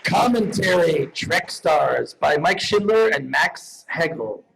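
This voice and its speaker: A man's voice: on the high side, lively intonation, slow, vocal fry. A man presenting awards live on stage in a jokey, profane, emphatic comedic manner. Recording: noisy live event, clicks.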